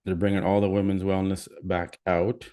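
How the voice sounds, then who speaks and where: A man's voice: deep voice, little pitch movement, moderate pace, mellow. A man with a Canadian accent hosting a live stream, chatting casually and reading out where viewers are from. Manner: chatty, reads out viewer comments. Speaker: livestream host.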